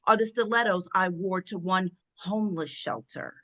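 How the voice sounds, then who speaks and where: A woman playing an imperious, sharp-tongued First Lady, haughty, biting and commanding, in a comedy play table read. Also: on the low side, moderate pace, close to an everyday voice. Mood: indignant, proud.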